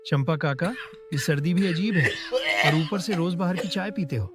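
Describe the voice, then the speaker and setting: A man's voice: fairly high pitch, normal speed, lightly acted. A man playing a resentful, scheming village man in a cartoon moral story, muttering and insinuating with false politeness. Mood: sly, insinuating. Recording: music behind the voice. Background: prominent music.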